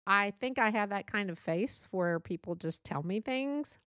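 A woman's voice: mid-range voice, expressive, measured pace, fairly bright tone, clear, resonant tone, no room sound, studio quality. A middle-aged woman from Texas with a Texan accent, hosting a podcast in a chatty, rambling, self-deprecating solo monologue. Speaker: podcast host.

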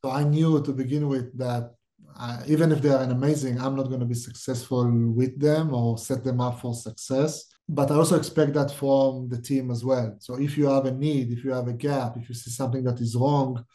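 A middle-aged man with an Israeli accent speaks in a warm, dark, mellow tone. He answers questions directly, thinking aloud and restarting his sentences as he works toward his point. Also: medium pitch, fairly even intonation, brisk. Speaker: guest, startup CEO. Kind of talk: podcast interview.

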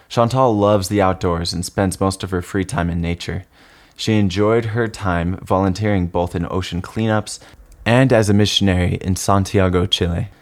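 A man hosting a casual podcast interview, upbeat and enthusiastic, sharing one microphone with his guest. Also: low-pitched. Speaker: podcast host. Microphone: single shared microphone.

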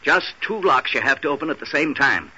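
An actor playing a shady, desperate assistant pushing a deal in a vintage radio drama. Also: male voice, medium pitch, expressive, fairly quick, pushed and bright, husky, exaggerated voice. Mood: urgent, furtive. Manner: urgent, pushy. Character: shady, pushy, desperate male bookkeeper's assistant. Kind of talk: 1940s radio drama acting. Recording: muffled old radio recording.

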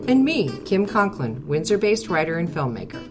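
A woman's voice: on the low side, sing-song, normal speed, over music. A woman from Canada co-hosting a podcast, welcoming listeners and asking the guest questions. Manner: scripted welcome, then chatty questions. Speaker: podcast co-host.

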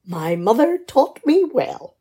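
A woman's voice: fairly high pitch, very animated, slow, warm tone, husky, exaggerated voice. A middle-aged woman, playing a shrewd, teasing, practical wife in a radio play. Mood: teasing, determined. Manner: dry, bantering, probing. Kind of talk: amateur radio play dialogue.

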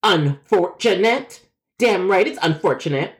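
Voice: medium pitch, big pitch swings, moderate pace, close to an everyday voice. A man voicing a whiny, melodramatic male customer with a grievance in a comedic phone story. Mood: outraged. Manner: melodramatic, whining, yelling.

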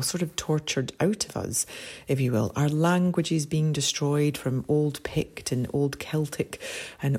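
A middle-aged Scottish woman hosting a podcast, talking in an earnest, rambling way with many fillers, her voice deepened and husky after a late night. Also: mellow. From Scotland. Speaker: podcast host and somatic coach. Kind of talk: reflective solo podcast talk.

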